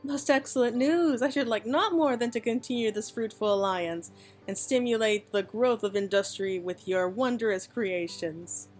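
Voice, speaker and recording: A woman's voice: on the high side, normal speed, darkish tone, faint music behind, close to an everyday voice. A woman voicing a gracious guild patron from a fantasy game with stately, formal phrasing. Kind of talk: video game dialogue read aloud.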